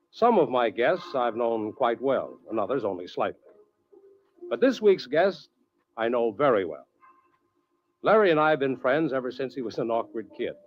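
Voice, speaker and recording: An actor playing a genial, worldly adventurer who hosts and narrates stories in a 1940s radio style. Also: male voice, medium pitch, natural voice. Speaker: radio show host and narrator. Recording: dull old radio broadcast recording.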